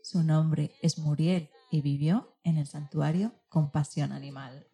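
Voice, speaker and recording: Low, very mellow woman radio presenter. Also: steady tone, a little slow, breathy edge. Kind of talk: scripted radio programme narration.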